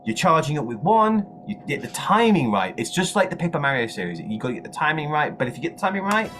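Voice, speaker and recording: A man chatting enthusiastically about video game news as a co-host, excitable and repeating words for emphasis. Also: fairly high pitch, fast, music in the background. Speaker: gaming show co-host.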